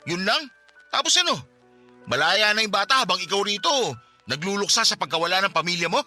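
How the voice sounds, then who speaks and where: A Filipino man voicing a loyal friend and cousin in a radio drama, caring at first and quick to anger at injustice. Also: high-pitched, lively intonation, pushed and bright, faint music behind, exaggerated voice. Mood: outraged. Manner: flares into angry outbursts. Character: loyal male friend and cousin, bank security guard, hot-tempered about injustice. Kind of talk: Tagalog radio drama acting.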